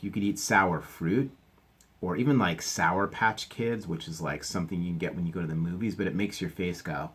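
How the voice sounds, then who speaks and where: A man teacher reading a picture book aloud to children, stopping for gentle chatty asides. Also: deep voice.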